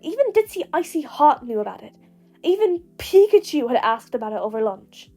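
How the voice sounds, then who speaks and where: A woman narrates fiction in a high voice. Her delivery is scripted and expressive, with faint music behind it. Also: normal speed. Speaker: podfic narrator.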